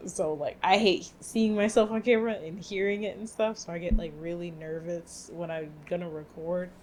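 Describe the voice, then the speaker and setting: A woman's voice: lowish voice, steady tone, normal speed, darkish tone, slight background noise. A woman co-hosting a podcast, chatting casually with lots of fillers and frequent laughter. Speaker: podcast co-host. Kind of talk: casual chat.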